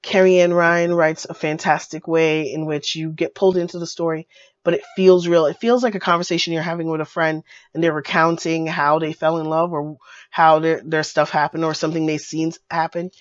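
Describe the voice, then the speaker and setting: A woman with a cold, her voice going hoarse, giving a casual video book review in a gushing way, full of fillers and repeated words. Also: low-pitched, flat delivery, brisk, no room sound. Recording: dull lo-fi dry sound.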